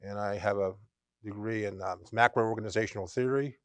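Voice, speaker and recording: A man, speaking in a dry studio. He answers earnestly, often pausing and restarting his sentences. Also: fairly low pitch, fairly even intonation, dry recording. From Western Pennsylvania. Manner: earnest, with frequent ums and restarts. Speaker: MBA program director, guest. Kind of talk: conversational interview answers. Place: college TV studio.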